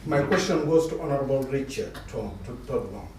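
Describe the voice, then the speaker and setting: A man from South Sudan arguing forcefully from the floor of a public discussion, stumbling over restarts as he presses his point. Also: medium pitch, expressive, a little fast. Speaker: audience member asking a question. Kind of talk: impassioned comment from the floor. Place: large lecture hall. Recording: echoey lecture hall, distant mic, faint hiss.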